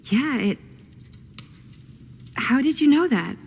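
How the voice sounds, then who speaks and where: An actress playing a hesitant, guarded young woman in a video game, with a husky, creaky voice. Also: high-pitched, expressive, takes it slowly, a little mellow, heavily acted. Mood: hesitant, subdued. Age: young adult. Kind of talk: naturalistic video game acting.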